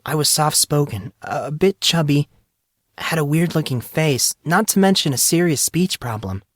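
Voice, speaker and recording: A soft, earnest young woman tells a sad story in a raised, gentle voice, as a male narrator puts her on. The sound is dry and close, with no room around it. She speaks in a heartfelt, confiding way. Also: fairly high pitch, expressive, moderate pace, no echo, voice pushed up. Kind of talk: audiobook character reading.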